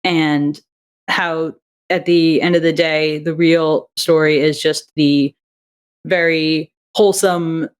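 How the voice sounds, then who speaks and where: A woman's voice: low voice, steady tone, slow pace, clean tone. A young adult woman, a guest on an interview over a video call, talking enthusiastically about her audio drama and thinking aloud with frequent restarts. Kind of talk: casual interview chat.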